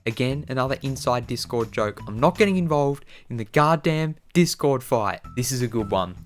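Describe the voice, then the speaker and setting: A man speaks in a relaxed, conversational way, like a video creator chatting directly to his audience. His voice sits at an average pitch for a man, and music plays behind him. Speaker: YouTube creator answering viewer questions. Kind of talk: casual chat to viewers.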